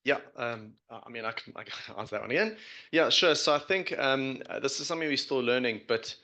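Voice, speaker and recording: A man answering questions off the cuff as a startup founder, halting and hedging as he explains. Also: mid-range voice, animated, pushed and bright.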